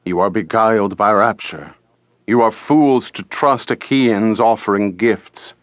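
A man's voice: fairly low pitch, unhurried, dry recording, close to an everyday voice. A man voicing an angry, defiant priest in an audiobook, stern and declamatory.

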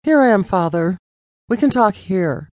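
A woman's voice: mid-range voice, lively intonation, a little slow, darkish tone, dry recording, pitched above the performer's own voice, close to an everyday voice. A woman voicing a young daughter who speaks earnestly and firmly about her faith. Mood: resolute. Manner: earnest and resolute.

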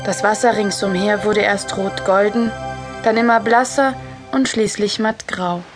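A woman's voice: mid-range voice, steady tone, unhurried, music in the background, lightly acted. A woman playing a cheeky child who acts in and narrates a children's sea adventure. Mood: narrating. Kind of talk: German children's audio drama acting and narration.

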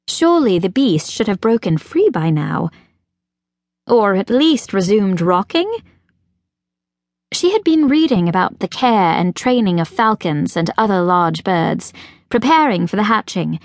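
A woman reading a children's fantasy audiobook as narrator, in a measured, expressive storytelling manner. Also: medium pitch, no room sound, studio quality. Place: recording studio.

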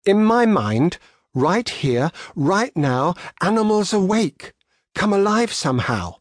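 A man's voice: sits high, very animated, takes it slowly, dry recording, clean studio sound, voice pushed up, heavily acted. A man voicing an eager, imaginative five-year-old boy at play in a children's storybook. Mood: hushed wonder.

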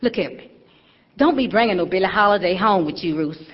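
A woman's voice: mid-range voice, expressive, a little fast, big-room sound, lo-fi sound, lightly acted. An actress playing a stern, churchgoing mother who scolds in folksy, emphatic speech. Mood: disapproving. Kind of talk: stage drama acting.

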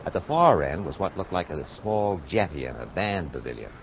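An actor playing a cynical, wisecracking American private detective in a hardboiled radio drama, narrating and trading dialogue. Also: male voice, low voice, very animated, normal speed, highs cut off, noisy background. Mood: wry, reflective. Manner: sardonic, wisecracking.

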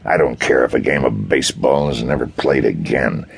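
Man acting a gruff, gravelly police chief. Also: very deep voice, normal speed, exaggerated voice. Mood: sour, exasperated. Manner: blunt, grumbling. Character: gruff, stubby, exasperated male federal police chief.

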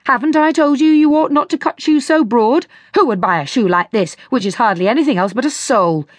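A shrill, blustering old shoemaker who finds fault with everything, as a woman puts him on. He rants in a high, nagging voice and scolds everyone near him. The storybook reading sounds close and dry. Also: animated, a little fast, no echo. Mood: ranting. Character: grumpy fault-finding old male shoemaker.

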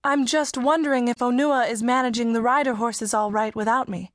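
Woman acting an earnest, higher-voiced teenage girl. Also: fairly even intonation, fairly quick, no echo, clean studio sound, close to an everyday voice. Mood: worried. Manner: plain-spoken, worried. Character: earnest, anxious fourteen-year-old country girl. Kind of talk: audiobook character acting.

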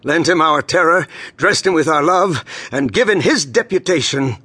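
An actor playing a solemn ruling duke in a Shakespearean stage drama, with formal, measured, oratorical delivery. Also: male voice, a little high, lively intonation, bright, forceful tone, slightly rough, full character voice. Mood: solemn, deliberate. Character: stately ruling duke, solemn, handing over power. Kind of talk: classical Shakespearean stage acting.

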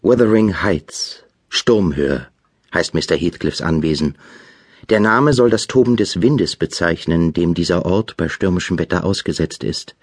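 An actor playing a polite, wordy young gentleman tenant who narrates and speaks with formal, elaborate courtesy in a radio drama. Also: sits low, animated, unhurried, husky, no echo, studio quality, heavily acted. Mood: reflective.

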